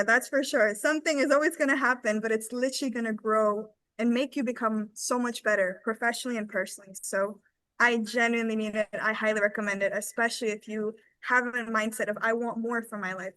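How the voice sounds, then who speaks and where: A woman in her twenties with a fairly high voice speaks in an informal testimonial style. She is earnest and enthusiastic, and the sound is dry with little room in it. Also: fairly even intonation, fast talker, fairly bright tone, no echo, low-quality recording. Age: 20s. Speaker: academy team member giving a testimonial.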